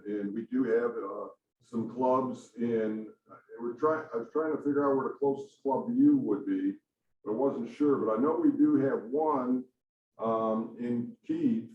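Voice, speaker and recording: A man's voice: mid-range voice, slight background noise. Rambling Midwestern man in an echoey room. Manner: rambling, hesitant, many restarts. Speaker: club meeting host. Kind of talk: casual introduction at a club meeting. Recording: echoey room, voice far from mic, dull.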